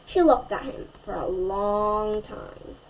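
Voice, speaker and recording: A woman storyteller reading a children's picture book aloud in an expressive way, addressing young listeners. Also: mid-range voice, slow pace, slight background noise, rough recording.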